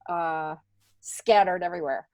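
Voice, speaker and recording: A woman's voice: fairly low pitch, a little slow, no room sound, clean studio sound. A middle-aged American woman from upstate New York, chatty and self-deprecating, telling stories casually as an interview guest. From upstate New York, United States. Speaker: interview guest, stand-up comedian and TV writer. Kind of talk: casual conversational storytelling.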